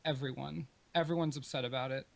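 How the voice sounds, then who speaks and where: A man's voice: mid-range voice, fairly even intonation, fast, gravelly. A man arguing informally in a firm, emphatic, accusatory way. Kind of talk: informal argument.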